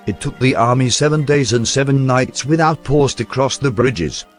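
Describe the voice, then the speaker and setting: A man reading a historical account aloud in a measured, storytelling documentary narration. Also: medium pitch, faint music behind. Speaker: reader of historical text.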